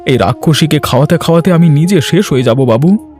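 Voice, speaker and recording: A man's voice: fairly high pitch, expressive, a little fast, darkish tone, lightly acted. A man voicing a poor, henpecked village farmer in a cartoon, sarcastic and exasperated, with a whining, complaining manner. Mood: distressed, complaining. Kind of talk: Bengali cartoon dubbing. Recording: music behind the voice.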